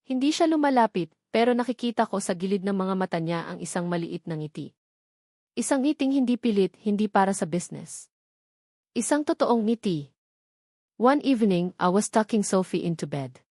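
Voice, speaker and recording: A woman's voice: medium pitch, expressive, moderate pace, darkish tone, dry recording, studio quality. A woman with a Filipino accent reading a scripted first-person romance story as its storyteller.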